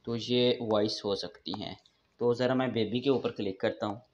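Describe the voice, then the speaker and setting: A man's voice: medium pitch, fairly even intonation, normal speed, slight background noise. A man hosting a tech tips channel, narrating a casual step-by-step app tutorial to his viewers. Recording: dull, lo-fi voice-over recording.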